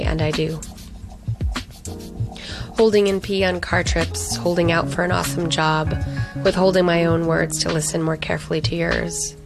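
A woman narrates a personal essay in a low, gravelly voice, reflective and deliberate. Her delivery stays close and intimate, with music playing underneath.

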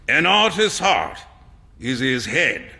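A man puts on a flamboyant, worldly painter from a storybook, very bright and high-pitched. He is theatrical and teasing, with an exuberant, amused swagger. A long hall reverb trails the voice. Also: big pitch swings, slow pace, creaky, full character voice. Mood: airy, teasing. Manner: theatrical, teasing, exuberant, slipping into French phrases. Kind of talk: acted storybook dialogue.

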